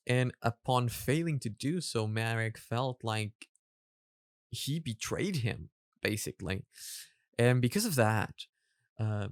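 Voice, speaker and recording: A man hosting a reaction video, giving casual commentary in a halting way as he rephrases his explanations. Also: medium pitch, no room sound, studio quality.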